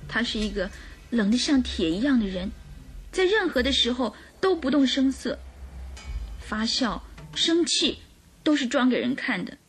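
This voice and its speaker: High-voiced, distressed young governess. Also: female voice, sing-song, moderate pace, soft background music. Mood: anxious recounting. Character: distressed young governess accused of murder, earnest. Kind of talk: radio drama acting.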